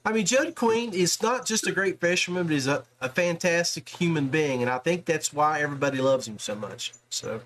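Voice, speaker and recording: A man's voice: fairly high pitch, expressive, fairly quick, few pauses, a bit bright. A man hosting a podcast, interviewing in a casual, folksy, chummy way and often saying man and brother. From West Virginia, United States. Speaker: podcast host interviewing anglers.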